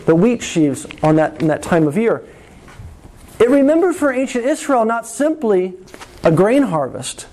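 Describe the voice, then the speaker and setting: A man preaching a sermon to his congregation, slow and deliberate, with dramatic pauses and repetition. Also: high-pitched, a little mellow. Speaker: pastor.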